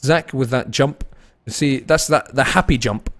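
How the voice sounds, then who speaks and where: A young man casting an esports match, laid-back and tired between plays, rising to fast, excited calls when the action heats up. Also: mid-range voice. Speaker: esports caster. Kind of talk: live esports commentary mixed with chatting to viewers.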